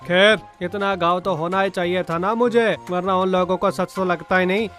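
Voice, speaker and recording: Guy doing a sneaky bossy servant in a kids cartoon, pretty high male voice, faint music behind. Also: fairly even intonation, normal speed, a bit bright. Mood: scheming. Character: scheming, impatient male servant of a merchant. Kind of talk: Hindi moral-story cartoon dialogue.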